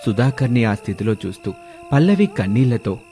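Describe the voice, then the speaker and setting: A man's voice: mid-range voice, sing-song, fairly quick, dark tone, faint music behind. A man narrating a scripted moral story as a storyteller.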